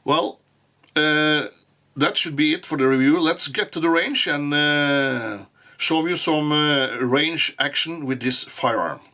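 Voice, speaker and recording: A man whose first language is Norwegian, giving a casual firearm review in English with frequent pauses and restarts. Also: a little high, pushed and bright. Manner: halting, many fillers and restarts. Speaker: gun reviewer.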